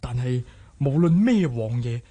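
An actor playing an eager, slow-witted young nephew in a Cantonese radio drama, husky, hesitant and fawning. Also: male voice, mid-range voice, lively intonation, dark tone. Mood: curious, hesitant.

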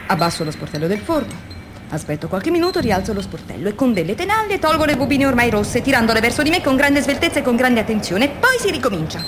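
A woman's voice: on the high side, sing-song, quick pace. An actress playing an earnest, weary woman factory worker in a radio drama monologue, reflective and intense in a theatrical way. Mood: intense, urgent. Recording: noisy radio drama with background ambience.

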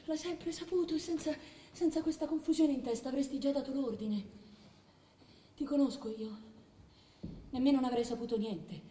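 A woman's voice: sits high, normal speed, pauses often, dark tone, natural voice. An actress playing a scheming queen who relentlessly goads in a theatrical, insistent way, repeating her phrases. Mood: cold, insistent. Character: scheming queen, mother plotting against her own son, relentless. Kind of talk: theatrical stage drama acting. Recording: distant, echoey hall with background noise.

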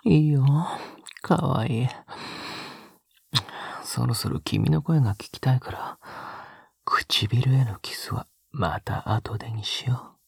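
A man playing a tender, teasing young male lover in an intimate romantic audio drama, breathy and often whispering in a soft, coaxing way close to the microphone. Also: medium pitch, slow pace, pauses often, no room sound, full character voice. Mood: whispered, coaxing. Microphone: close microphone, dry sound.

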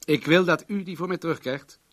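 An actor playing a wealthy, controlling businessman in a radio play, formal, guarded and self-assured in a measured way. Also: a little high, a bit bright. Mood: matter-of-fact.

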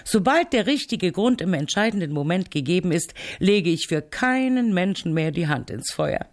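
Radio drama: imperious, provocative female novelist, middle-aged, dry wit, very lively intonation. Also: lowish voice, moderate pace. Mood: imperious, sardonic. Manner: assertive, dry, teasing. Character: headstrong, sardonic crime novelist aunt, imperious and provocative. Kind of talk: German radio play dialogue.

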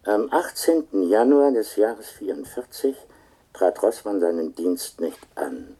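Dossier voice, low, dark, husky, clipped, impersonal, formal, radio drama. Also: male voice, sing-song, measured pace. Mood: detached, formal. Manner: clipped, detached, matter-of-fact. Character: impersonal male official reading a personnel record.

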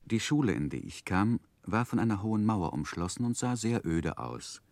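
A man's voice: on the low side, sing-song, normal speed, darkish tone, no room sound. An actor playing a grown man recalling his boyhood, narrating a 1960s German radio drama in a literary, reflective manner. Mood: descriptive.

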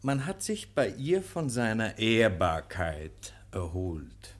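A man's voice: on the low side, big pitch swings, slow pace, heavily acted. A man playing an urbane, needling legal counsellor in a German radio play, ironic, teasing and suave. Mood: mock earnest.

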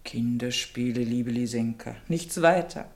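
An actress playing a calm, indulgent middle-aged aunt in a German radio drama, gravelly and soothing. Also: female voice, extremely low pitch. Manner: unhurried, soothing.

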